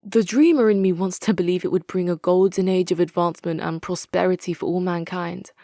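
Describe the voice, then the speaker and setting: A woman's voice: lowish voice, steady tone, brisk, mellow, no echo, close to an everyday voice. A woman playing a wry British military commander, dry sound. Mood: sober. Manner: wry, plain-spoken. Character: frank, sceptical female military commander, wry realist. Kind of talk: dramatic scripted game character monologue.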